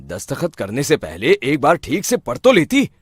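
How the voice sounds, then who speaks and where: Man as an exasperated, high-voiced elderly husband. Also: huge pitch swings, moderate pace, noisy background. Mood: exasperated reproach. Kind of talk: Hindi family comedy cartoon.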